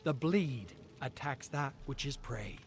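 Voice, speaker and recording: A man streaming, reading game lore aloud in a slow, deliberate storytelling delivery. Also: medium pitch, expressive. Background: clear background music.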